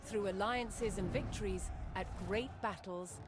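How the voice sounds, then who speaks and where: A woman reading scripted historical documentary narration in a measured, dramatic storytelling manner with deliberate pauses. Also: medium pitch. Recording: studio narration over background music. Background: music.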